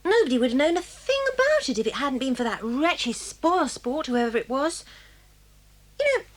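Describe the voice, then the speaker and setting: A woman's voice: high-pitched, very animated, moderate pace. A woman playing a bossy, outspoken boarding school girl with a British accent and an emphatic delivery in an audio drama. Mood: determined.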